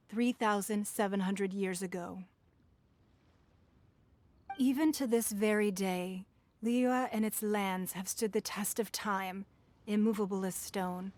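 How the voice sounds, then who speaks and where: A woman playing a dignified, measured city leader. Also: mid-range voice, darkish tone, natural voice. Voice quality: measured, formal. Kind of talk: game cutscene voice acting.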